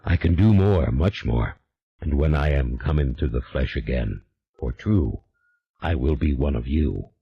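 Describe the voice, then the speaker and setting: A man voicing a hushed, ominous demon. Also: extremely low pitch, lively intonation, a little slow, lo-fi sound, a little hiss or hum, voice pushed down, heavily acted. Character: invisible ancient male demon, brooding and menacing.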